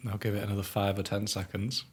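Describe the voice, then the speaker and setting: A man with a low, husky voice co-hosts a casual podcast chat. He explains things calmly and drops in dry jokes. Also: fast talker. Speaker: podcast co-host. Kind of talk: casual chat while tasting hot sauce.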